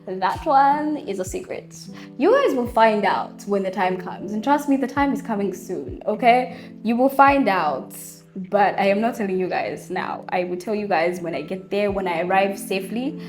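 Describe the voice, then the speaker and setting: A young Zambian woman in her twenties answering viewer questions in a casual, chatty way, often laughing. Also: mid-range voice. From Zambia, Copper Belt. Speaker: vlogger. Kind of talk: casual Q&A to camera. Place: home room lit by daylight.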